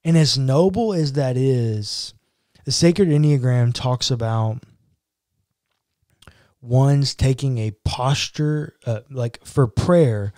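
A young pastor speaking slowly and earnestly on a podcast. His voice is mellow and relaxed, and he weighs his words with care. He is recorded dry on a close boom-arm mic in a small room. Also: no room sound. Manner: measured, earnest, reflective. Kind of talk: casual chat. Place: small home studio room.

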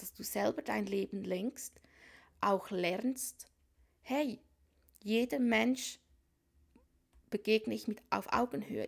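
Gravelly-voiced woman reading tarot cards. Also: fairly high pitch. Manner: musing, thinks aloud, frequent whispered asides. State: has a cold. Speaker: tarot reader. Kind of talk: casual tarot reading to viewers. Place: small room at a card table.

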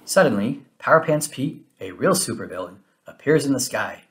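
A man reading a children's story aloud in an expressive, animated way with dramatic emphasis. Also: mid-range voice, normal speed. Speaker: storybook reader. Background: music.

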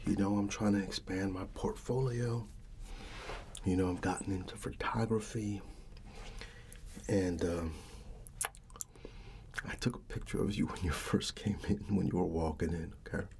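A middle-aged American man speaking softly and often in a whisper, in a casual, avuncular ASMR roleplay as a barber. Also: deep voice, fairly even intonation, creaky, slight background noise. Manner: unhurried, casual, avuncular reassurance. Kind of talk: soft-spoken ASMR personal attention roleplay.

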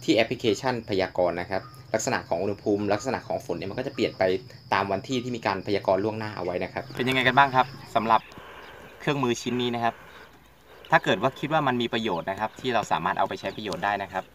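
A man in his thirties explaining things to camera in a polite, steady way, sitting outdoors on a tractor in farmland. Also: lowish voice, fast, a little hiss or hum. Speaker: vlogger explaining a weather app. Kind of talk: casual instructional talk to camera.

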